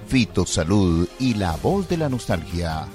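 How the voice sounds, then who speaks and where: A man's voice: sits low, sing-song, measured pace, faint music behind. A man, working as a radio host and delivering a testimonial-style advert like an announcer.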